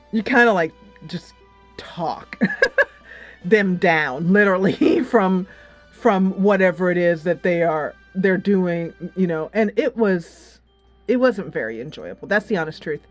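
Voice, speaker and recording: A middle-aged woman giving a casual, giggly video game review, animated and emphatic, drawing out words for effect. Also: medium pitch.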